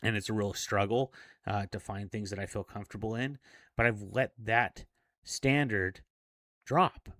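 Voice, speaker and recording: A man of forty speaking in a casual, reflective vlog monologue, earnest and a little halting. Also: lowish voice, steady tone, fairly bright tone, dry recording. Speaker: self-improvement vlogger.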